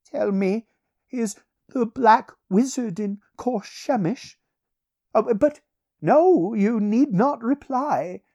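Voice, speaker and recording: A woman's voice: a little high, slow, mellow, voice pushed up. A woman voicing an ancient aristocratic male sorcerer with a wry, archaic, theatrical manner in a fantasy audiobook. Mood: scheming, wry.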